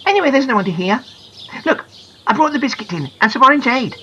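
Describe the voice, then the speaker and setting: A woman voicing an excitable young schoolgirl, high and pleading, in a slightly dull recording. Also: quick pace, a little hiss or hum. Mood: eager excited.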